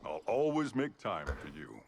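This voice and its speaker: A man's voice: lowish voice, big pitch swings, normal speed, darkish tone. An actor playing a friendly male villager in a cozy fantasy game, giving short greetings.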